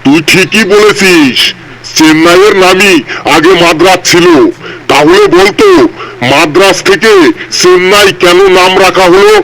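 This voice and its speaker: Man playing an exasperated high-voiced schoolteacher. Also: expressive, unhurried, gravelly, fairly bright tone, exaggerated voice, low-quality recording. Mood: questioning. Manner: scolding, sarcastic. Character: exasperated, sarcastic male history teacher scolding pupils. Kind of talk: comedy cartoon dialogue.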